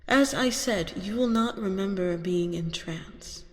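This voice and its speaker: Woman acting a slow, reverberant seductive vampire queen. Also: mid-range voice, a little hiss or hum, close to an everyday voice. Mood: hypnotic, commanding. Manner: slow, breathy, coaxing, often dropping to whispers. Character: seductive, manipulative vampire queen posing as therapist, hypnotizing her prey. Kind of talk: ASMR roleplay. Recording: long reverberant echo on voice.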